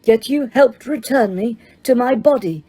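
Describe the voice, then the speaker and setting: A woman voicing a cold, slow, menacing dark lord. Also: fairly high pitch, voice pushed up.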